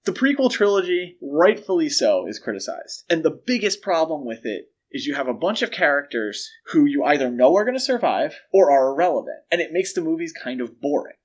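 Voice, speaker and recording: A man's voice: a little high, big pitch swings, fairly quick, hardly any pauses. A man co-hosts a casual film discussion podcast. He explains his points step by step in a conversational way, putting emphasis on the key words as he builds an argument. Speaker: podcast host.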